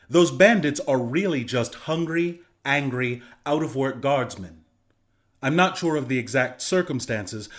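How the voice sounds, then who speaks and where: A man's voice: mid-range voice, natural voice. A young man playing an earnest, plainspoken griffin rebel and former soldier in a video game. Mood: measured, strategic. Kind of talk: video game character dialogue.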